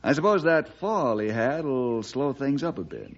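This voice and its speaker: A level-headed frontier lawman in an old radio western. He speaks in a measured, questioning way. The sound is dull, like a vintage broadcast. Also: mid-range voice, animated. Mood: probing. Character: calm, inquisitive male western lawman hero.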